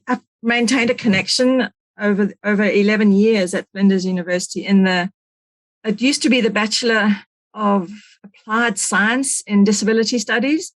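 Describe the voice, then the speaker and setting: A woman's voice: mid-range voice, no echo, poor audio. A middle-aged woman with a South African accent, speaking conversationally as a podcast guest. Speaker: podcast guest, support coordinator. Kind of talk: conversational interview.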